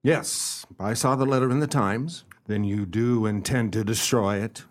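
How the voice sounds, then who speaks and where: A man playing a shrewd, polite, skeptical gentleman detective in a radio play, speaking formally and with measured courtesy. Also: lowish voice, steady tone, natural voice. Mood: confident, courteous. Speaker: detective and narrator.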